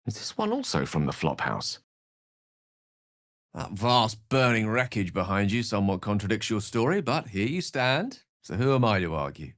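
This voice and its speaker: A man's voice: fairly low pitch, big pitch swings, moderate pace, a bit bright, no room sound, studio quality. An actor voicing an eloquent, wry, bookish male wizard companion in a video game, with a theatrical, erudite delivery. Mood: wry, measured. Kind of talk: video game character voice acting.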